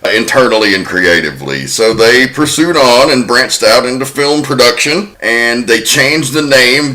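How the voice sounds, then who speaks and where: A man's voice: mid-range voice, bright, forceful tone, rough recording. A man hosting a podcast, casually walking through researched facts about an old film. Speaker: podcast host. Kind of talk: casual film-history chat.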